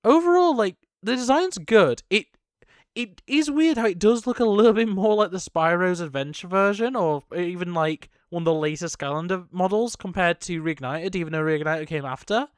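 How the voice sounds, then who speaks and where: A man's voice: high voice, normal speed, smooth, no room sound, studio quality. A young man giving casual, off-the-cuff reaction commentary on a video game trailer, rambling and thinking aloud as he goes.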